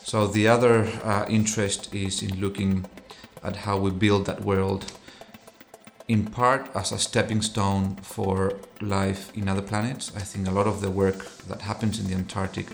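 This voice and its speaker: A middle-aged man from Chile, an associate professor, giving thoughtful interview answers that often pause and restart phrases. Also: low-pitched, level tone, vocal fry, soft background music.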